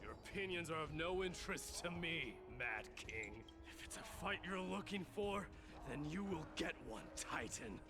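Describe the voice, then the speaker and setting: An actor playing a proud, grim immortal warrior prince in a video game, haughty, curt and menacing. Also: male voice, on the high side, lively intonation, normal speed, over music. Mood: scornful, cold. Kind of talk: dramatic video game voice acting.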